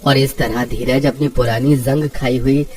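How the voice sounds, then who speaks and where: A man's voice: medium pitch, fairly even intonation, normal speed, crowd noise. A man narrating a scripted cartoon story as the storyteller.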